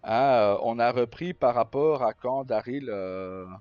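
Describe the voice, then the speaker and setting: A man's voice: medium pitch, a little slow. A man chatting casually on a livestream, sweary and colloquial, in short broken-off phrases. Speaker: live streamer. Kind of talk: casual, unscripted livestream chat to viewers. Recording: dull roomy sound with faint music. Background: faint game music.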